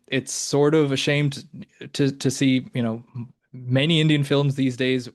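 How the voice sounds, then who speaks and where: A man's voice: mid-range voice, no echo. A young man hosts a podcast discussion, stumbling through his points with frequent fillers and restarts. His voice stays level in a middle register and barely rises or falls. The sound is dry and close, with no room around it. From Hong Kong and mainland China. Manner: halting, many fillers and restarts. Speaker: podcast host. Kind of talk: casual discussion.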